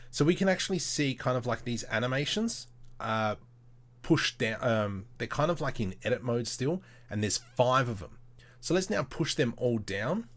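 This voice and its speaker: A man hosting a casual live software tutorial, thinking aloud in short, informal, slangy step-by-step phrases. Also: mid-range voice. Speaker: tutorial host, livestreamer. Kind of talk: casual live screen-share tutorial commentary.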